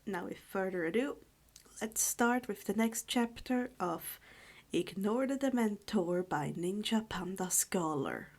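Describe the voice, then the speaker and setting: Hushed, slow-paced woman storyteller. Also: medium pitch, expressive. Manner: hushed, subdued, keeping the voice down; halting, stumbling over words and correcting herself. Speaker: storyteller reading fan fiction aloud for a channel. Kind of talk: informal chat, then read-aloud narration.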